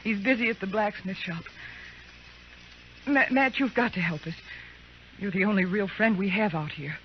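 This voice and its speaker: A woman's voice: mid-range voice, normal speed, close to an everyday voice. An actress playing an anxious, pleading married frontier woman in an old-time radio drama, earnest and urgent. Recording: dull, noisy old radio recording.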